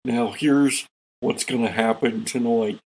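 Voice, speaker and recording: A man hosting and narrating a tabletop role-playing game as game master, with theatrical, deliberate pauses. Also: medium pitch, steady tone, slight background noise, low-quality recording.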